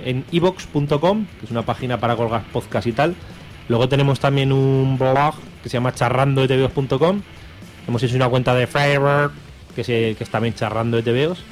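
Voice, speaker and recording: A man with an Aragonese Spanish accent chatting casually as a podcaster, irreverent, slangy and foul-mouthed. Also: mid-range voice. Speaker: podcaster and radio show regular. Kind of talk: casual chat.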